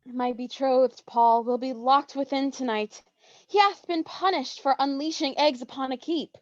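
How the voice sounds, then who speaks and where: A woman's voice: sits high, unhurried, dry recording. A woman playing a brash, bawdy teenage girl in a mock-Shakespearean staged reading, with playful, theatrical archaic speech. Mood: dramatic complaint. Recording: dull, dry lo-fi sound.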